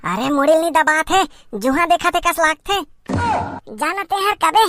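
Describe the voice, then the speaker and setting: A woman voicing a cheeky, pleading young schoolboy in a comic cartoon, with a Chhattisgarh accent and a whiny, halting delivery. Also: extremely high pitch, lively intonation, noisy background. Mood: anxious, hesitant.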